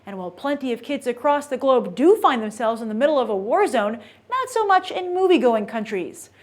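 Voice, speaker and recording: A high-pitched woman presents movie review commentary as a show host. She puts emphatic stress on key words as she lays out her opinions. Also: sing-song, brisk.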